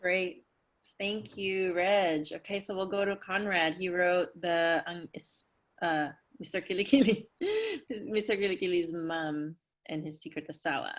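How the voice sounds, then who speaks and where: A woman's voice: on the low side, steady tone, unhurried. A woman hosting a playwrights' talk-back over a video call, speaking in a casual style.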